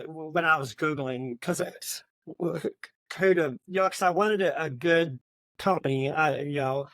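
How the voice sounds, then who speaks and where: A man co-hosting a podcast in casual book chat, speaking haltingly and often repeating words as he goes. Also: mid-range voice, a bit bright, dry recording. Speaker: podcast co-host.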